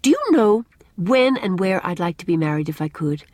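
A woman voicing a cheerful, romantic young bride-to-be in an audiobook, expressive and lyrical with a teasing touch. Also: lowish voice, normal speed, no echo, voice pushed up. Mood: dreamy. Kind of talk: audiobook character dialogue.

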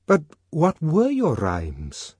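Male narrator as anxious disapproving man, audiobook dialogue, raised pitch, strained, emphatic, reproachful, swooping delivery. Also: huge pitch swings, extremely slow, darkish tone, husky, no echo, studio quality, exaggerated voice. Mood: curious. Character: anxious, disapproving male friend scolding a rebel.

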